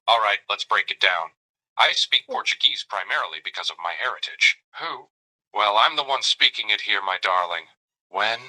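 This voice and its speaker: A man's voice: on the low side, sharp tone, poor audio. A synthetic male voice playing a doting, flirty AI companion who narrates his own gestures and calls the listener darling. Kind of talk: chatbot roleplay reply.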